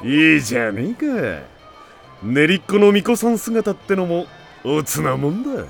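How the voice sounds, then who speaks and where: A man playing a boisterous, festival-mad middle-aged father in an anime audio drama, rough and hearty, full of bluster. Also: fairly high pitch, very animated, normal speed, faint music behind. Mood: gruff.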